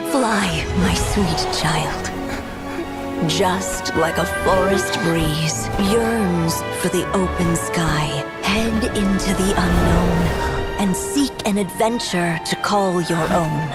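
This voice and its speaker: A woman playing a gentle, motherly storyteller in a video game, speaking tenderly in a solemn, measured storytelling style. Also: fairly low pitch. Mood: tender, hopeful. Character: gentle motherly storyteller bidding her beloved creation farewell. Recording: music behind the voice.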